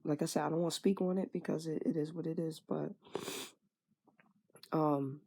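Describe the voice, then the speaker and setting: Young woman, candid vlog venting, frank and casual, gravelly low voice, husky. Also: mellow. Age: 20s. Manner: frank and conversational, swears casually, trails off with fillers. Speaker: vlogger. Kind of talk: candid personal venting monologue.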